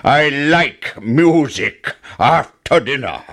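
A man voicing a huge wicked giant in a storybook, with slow, drawn-out, booming commands. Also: expressive, a bit bright, heavily acted. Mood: demanding.

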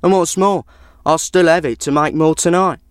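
A man's voice: a little high, moderate pace, smooth, dry recording, studio quality, voice pushed up, lightly acted. A man voicing a hungry, crafty wandering man in a storybook, speaking in a persuasive, coaxing way.

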